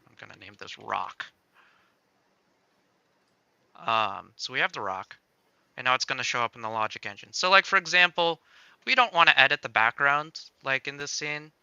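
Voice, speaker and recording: A man hosts a casual software tutorial stream with a very bright, clean voice. He thinks aloud as he goes from step to step in a playful way. The sound is dry, with hardly any room in it. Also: mid-range voice, moderate pace, gravelly, pauses often, clear, resonant tone, no echo, muffled recording. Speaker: livestream host demonstrating game-making software.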